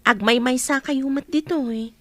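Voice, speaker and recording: A woman's voice: sits high, steady tone, a little slow, fairly bright tone, dry recording. A woman playing a caring young village health worker in a radio drama. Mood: friendly, wistful.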